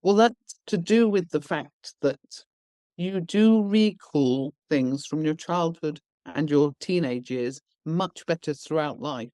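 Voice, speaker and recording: Emphatic woman with a mellow voice. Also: deep voice, slow pace, dry recording, clean studio sound. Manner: emphatic, blunt, explains with everyday examples. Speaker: education founder, guest. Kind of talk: podcast interview.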